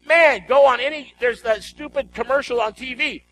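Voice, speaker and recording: Male preacher, high pitch, exhorting sermon delivery, rhetorical questions, noisy recording. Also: moderate pace, a bit bright. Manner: emphatic, exhorting, repeats phrases with rhetorical questions. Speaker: pastor.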